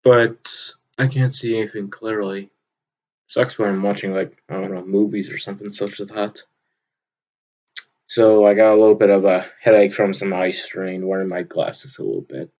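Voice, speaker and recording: A young man from Vermont with a loud, booming voice, talking off the cuff in an unscripted audio log, rambling with lots of filler words. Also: muffled recording. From Vermont, USA. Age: young adult. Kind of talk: unscripted casual monologue. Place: at his desk, indoors. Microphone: computer microphone on a desk.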